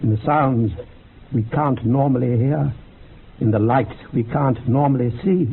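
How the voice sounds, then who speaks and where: An actor playing an earnest, bookish occult researcher in a radio drama, lecturing in a measured, gravelly, husky voice. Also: male voice, medium pitch, slight background noise. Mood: scholarly, absorbed. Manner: measured, lecturing, quotes texts with relish. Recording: muffled phone-like old radio recording.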